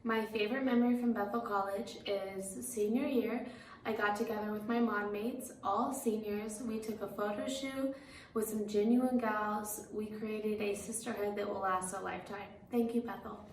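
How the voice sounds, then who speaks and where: A woman's voice: medium pitch, steady tone, normal speed, recorded from a distance, a little hiss or hum. A young woman, a graduating social work student, introducing herself in a heartfelt way. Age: young adult. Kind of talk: recorded self-introduction. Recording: echoey room, away from mic.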